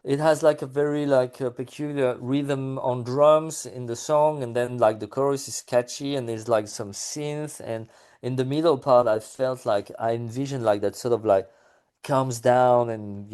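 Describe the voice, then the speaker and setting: A man's voice: mid-range voice, steady tone, a little slow, darkish tone, clear, resonant tone. A man being interviewed about his music, talking casually with many fillers and restarts.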